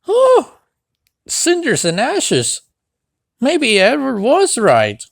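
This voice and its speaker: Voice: high voice, wildly swooping pitch, drawn-out words, gravelly, no room sound, studio quality, voice pushed up, full character voice. A reader voicing a cheeky, stubborn little male tank engine in a children's storybook. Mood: alarmed, puffed. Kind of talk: children's storybook character reading.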